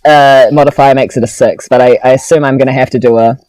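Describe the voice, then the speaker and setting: A woman's voice: a bass voice, steady tone, quick pace, mellow, dry recording, soft background music, rough recording. A woman playing a tabletop game, chatting casually and joking with the other players.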